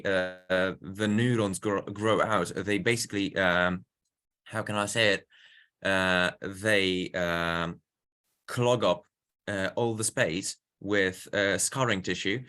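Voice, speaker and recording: A man in his late teens with a Polish accent, answering interview questions earnestly and haltingly, often repeating words as he searches for phrasing. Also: sits low, a bit bright. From Poland. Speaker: student interview guest.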